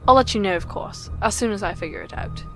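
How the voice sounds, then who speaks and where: An actress playing a knowing mystical female mentor in an audio drama, speaking in a measured, cryptic, formal way. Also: medium pitch, a bit bright, faint music behind, close to an everyday voice. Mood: foreboding.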